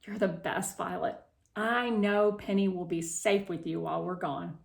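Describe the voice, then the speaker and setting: A woman's voice: fairly low pitch, fairly even intonation, normal speed, vocal fry, reverberant. A woman voicing a supportive, organized storybook mother with a kindly, practical manner.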